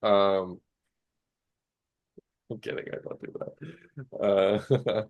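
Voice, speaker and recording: A man's voice: on the low side, drawn-out words, narrow, tinny audio. A young man co-hosting a casual movie podcast, joking, swearing and losing his train of thought. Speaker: podcast co-host.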